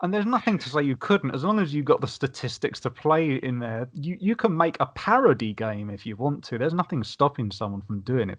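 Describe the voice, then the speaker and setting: A man's voice: mid-range voice, dull audio. A middle-aged man in a casual podcast discussion, rambling a little as he thinks aloud. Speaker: podcast guest.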